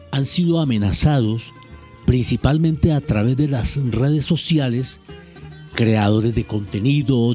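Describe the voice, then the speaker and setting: Warm-voiced Colombian radio host. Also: male voice, medium pitch. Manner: measured, deliberate reading. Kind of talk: reading a press editorial on air.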